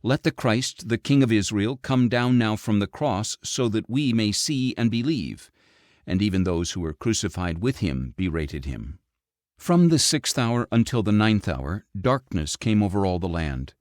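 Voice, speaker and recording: A man reading scripture as an audiobook narrator, in a measured, expressive storytelling delivery. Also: on the low side, dry recording, studio quality.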